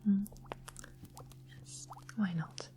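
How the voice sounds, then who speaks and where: A woman playing a sly, sweet-mannered fantasy witch in a whispered ASMR roleplay, soft and breathy, gentle and politely formal. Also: very slow. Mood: sweetly inviting. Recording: clear background noise, ambient music.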